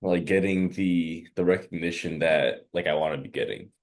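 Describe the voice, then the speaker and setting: Young guy, super deep voice, says like constantly, kinda unsure. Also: normal speed. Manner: hesitant, heavy use of "like". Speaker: sales prospect. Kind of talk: casual phone conversation.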